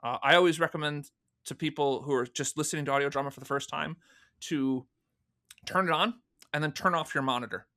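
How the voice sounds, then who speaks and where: A man's voice: on the high side, a little fast, sharp tone. A man speaking casually and conversationally as a guest answering questions in an interview. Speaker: podcast guest, fan audio drama producer.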